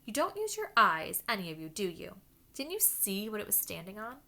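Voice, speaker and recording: A woman voicing a bossy, sharp-tongued schoolgirl who scolds her friends. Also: medium pitch, a little fast, creaky. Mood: lecturing. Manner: scolding, breathless.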